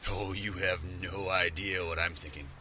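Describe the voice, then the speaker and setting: A man's voice: deep voice, steady tone, normal speed, bright, forceful tone, faint music behind. A man playing a sulky, protective courier in an audio drama, terse and dismissive. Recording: clearly noisy background.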